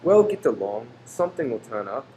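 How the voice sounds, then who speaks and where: A man acting a gentle, hopeful, doting Victorian husband in an old-time radio play, soothing and earnest. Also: mid-range voice, big pitch swings, fairly quick, natural voice. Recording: roomy stage recording, faint hum.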